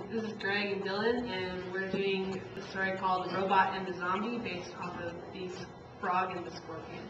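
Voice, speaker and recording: A woman's voice: on the high side, a bit bright. A woman reading a story aloud as narrator of a puppet show, stumbling over and rereading some lines. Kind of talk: live storytelling read from a script. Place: echoey room, recorded from far off. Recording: distant echoey room, muffled, noisy.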